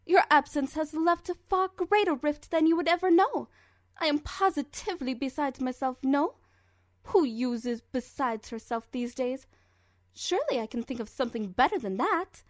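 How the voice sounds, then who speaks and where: A woman's voice: sits high, no echo, clean studio sound. A woman voicing a refined, fussy female cartoon unicorn with a genteel, affected and theatrical manner. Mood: melodramatic. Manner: affected, genteel, melodramatic with muttered asides. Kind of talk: cartoon character letter reading.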